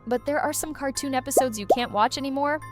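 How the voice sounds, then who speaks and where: A woman's voice: high-pitched, quick pace, faint music behind. A woman hosting a video with casual, playful, exaggerated commentary for young viewers. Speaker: host of a reaction video channel.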